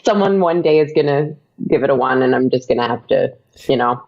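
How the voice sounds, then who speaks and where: A young woman talks as an interview guest, laughing often and drifting into fillers. Her voice has a gravelly, fry-edged texture, and the sound is dull and lo-fi. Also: very deep voice, animated, brisk, a little mellow. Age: young adult. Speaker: guest, audio drama podcast creator and actor. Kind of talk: casual interview chat.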